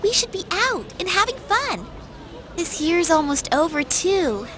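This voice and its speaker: Very high, animated schoolgirl. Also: measured pace, full character voice. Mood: breezy griping. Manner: casual, expressive. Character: chatty, outspoken high school girl, friendly classmate. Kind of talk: video game voice acting.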